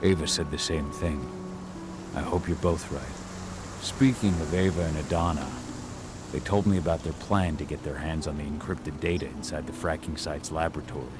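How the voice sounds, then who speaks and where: Game casting: gruff, brooding exiled warrior dad, extremely low voice, terse delivery, music underneath. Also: male voice, fairly even intonation, normal speed. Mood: weary. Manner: terse, serious. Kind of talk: video game cutscene acting.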